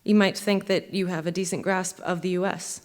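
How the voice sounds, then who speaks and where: A young American woman giving a measured, earnest spoken essay from a theater stage, as music plays behind her. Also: on the low side, fairly even intonation. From United States. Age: young adult. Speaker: violinist and speaker.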